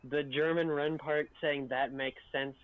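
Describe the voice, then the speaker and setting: A man with a slightly high voice chats casually on a livestream panel. His microphone sounds a little dull and lo-fi. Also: steady tone, unhurried. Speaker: stream panelist, editor.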